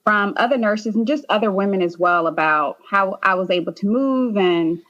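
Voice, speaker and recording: A woman's voice: mid-range voice, normal speed, a bit bright, muffled recording. A young woman from Haiti, a nurse practitioner, chatting as a podcast guest with many "um"s and "like"s. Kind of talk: casual interview.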